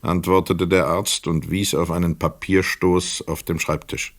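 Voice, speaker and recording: A man with a Swiss German accent narrating a radio play in a measured, sober way. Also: deep voice, little pitch movement, no echo.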